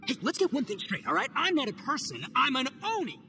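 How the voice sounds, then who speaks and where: A man voicing a loud, boastful and goofy young male oni gang boss in a game cutscene. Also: extremely high pitch, sing-song, a little fast, background noise. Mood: swaggering. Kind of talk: video game cutscene voice acting.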